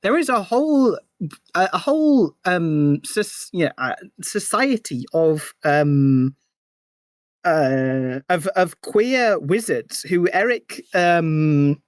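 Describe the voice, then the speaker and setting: Halting woman with a dry, close sound. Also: on the low side, no echo. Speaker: podcast guest. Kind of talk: casual discussion.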